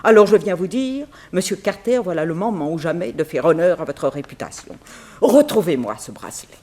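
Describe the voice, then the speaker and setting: Theatrical, scatterbrained society widow, swooping intonation. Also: female voice, on the low side, expressive, brisk, darkish tone. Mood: grand, insistent. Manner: theatrical, grand and fussy. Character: wealthy, talkative, scatterbrained American banker's widow and society aunt. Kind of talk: 1960s French radio drama acting.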